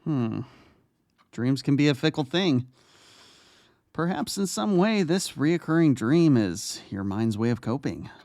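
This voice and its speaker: Man acting a creaky, measured therapist. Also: fairly high pitch, expressive, dry recording, clean studio sound. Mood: calm, probing. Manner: measured, reflective, gently questioning. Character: calm, probing male therapist, patient doctor. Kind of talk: audio drama acting.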